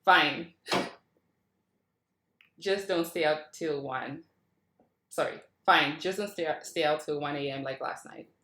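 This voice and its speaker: A woman's voice: sits low, takes it slowly, long pauses, fairly bright tone. A woman reading the part of a laid-back eighteen-year-old older brother at a script read. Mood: exasperated. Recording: echoey, roomy sound.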